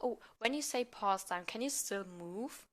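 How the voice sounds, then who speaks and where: A woman's voice: fairly high pitch, expressive, unhurried, fairly bright tone. A woman chatting casually as a podcast guest, thinking aloud and qualifying her answers as she goes. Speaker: podcast guest, amateur theatre member. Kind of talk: casual chat.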